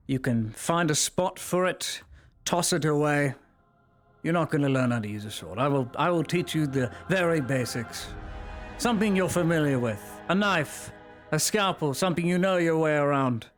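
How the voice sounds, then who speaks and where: A man playing a cold, zealous imperial enforcer in a live roleplay, scornful and commanding, dropping to whispers and rising to shouts. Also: on the high side, expressive, moderate pace, soft background music, lightly acted. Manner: swings between soft whispers and sudden shouts. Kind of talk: live roleplay in a virtual world.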